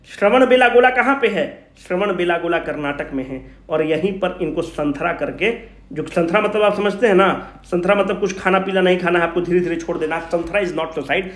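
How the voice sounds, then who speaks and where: A man with a Bihari Hindi accent lecturing informally as an exam coach, emphatically asking questions, answering them himself and repeating key points in colloquial speech. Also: sits high. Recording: echoey room.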